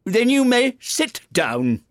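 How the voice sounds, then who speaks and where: A pompous, fretful king from a storybook. He draws his words out very slowly in a raised, swooping voice, imperious yet anxious, in a dry recording. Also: extremely high pitch, big pitch swings, a bit bright, no echo, pitched above the performer's own voice, exaggerated voice. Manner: drawn-out, imperious delivery.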